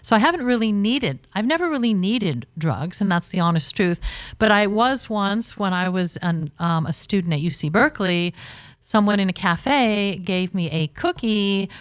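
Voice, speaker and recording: A woman's voice: medium pitch, expressive, normal speed, no echo. A woman guest on a call-in radio show, chatty and rambling as she tells stories. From Britain, Bahamas and California.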